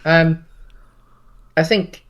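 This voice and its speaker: A man co-hosting a podcast, chatting casually about films and thinking aloud in a halting way. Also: on the high side, steady tone, a bit bright. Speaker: podcast co-host. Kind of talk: casual film discussion.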